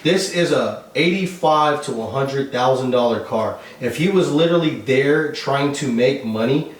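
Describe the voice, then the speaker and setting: A man's voice: mid-range voice, lively intonation, moderate pace. A man interviewing a friend, talking emphatically and casually, backing up his guest. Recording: very echoey room, mic far off.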